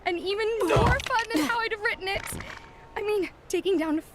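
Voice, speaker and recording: A woman playing an excitable, geeky teenage girl superhero in a video game, gushing like a bubbly fan. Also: very high-pitched, brisk, noisy background. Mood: excited. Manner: gushing, youthful. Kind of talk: video game cutscene voice acting. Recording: loud music behind.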